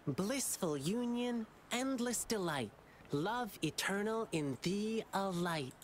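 A woman's voice: medium pitch, lively intonation, takes it slowly, slight background noise. A woman playing an eager, earnest young lion dancer boy in a video game, cheerfully chanting blessings. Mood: upbeat. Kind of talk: English video game voice acting.

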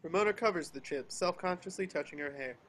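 A man's voice: high voice, fast, a bit bright. A man reading scripted action descriptions as narrator of a table read over a video call.

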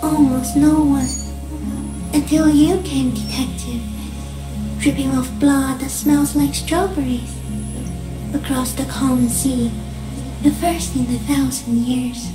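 A woman voicing an ancient, gentle, alien stick insect in a video game, speaking solemnly in measured, poetic, halting phrases. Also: sits high, steady tone. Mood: solemn wonder. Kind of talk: dramatic video game dialogue. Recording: echoing voice over game music. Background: music.

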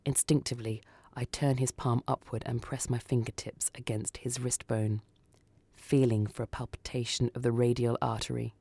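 A man reads a first-person suspense story for radio. His tone is mellow and dark at a middle pitch, and the recording is close and dry. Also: level tone, moderate pace, vocal fry, breathy edge, no echo. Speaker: story reader.

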